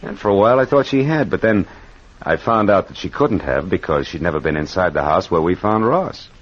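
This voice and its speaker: A wisecracking American private eye in vintage radio noir. His low voice swings expressively through clipped, worldly lines. The old recording is muffled, with music behind it. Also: lively intonation, fairly quick. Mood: wry, reflective. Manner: wry, wisecracking, clipped hard-boiled delivery. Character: wry, worldly male private detective narrating his cases. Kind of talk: 1940s-50s radio noir acting and first-person narration.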